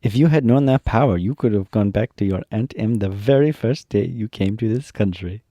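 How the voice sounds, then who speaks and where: A gracious good witch who gives commands, played by a man who raises his voice a little and lends it a stately slowness. The warm, dark tone is recorded close and dry. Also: lowish voice, no echo, lightly acted. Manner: stately, slightly raised and slow.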